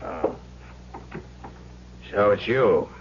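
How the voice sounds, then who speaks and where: An actor playing a gruff, suspicious crime boss in a radio drama, curt and grumbling. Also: male voice, lowish voice, animated, very slow, noisy background. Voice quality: gravelly. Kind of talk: 1970s radio drama acting. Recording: dull, humming vintage radio recording.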